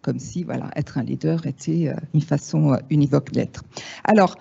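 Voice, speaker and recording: Italian woman in her fifties, warm-voiced. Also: low voice, moderate pace, vocal fry, breathy edge. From Italy. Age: 50s. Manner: lively, frank, wry asides. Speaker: speaker at a panel. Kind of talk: talk to an audience. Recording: dull lo-fi sound.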